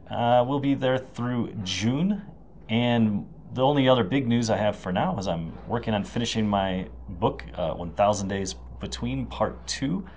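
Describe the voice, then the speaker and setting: A man hosting a travel podcast, talking in a relaxed, chatty way and thinking aloud with fillers while interviewing a guest. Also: mid-range voice, a little hiss or hum. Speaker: podcast host. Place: hostel in rural China.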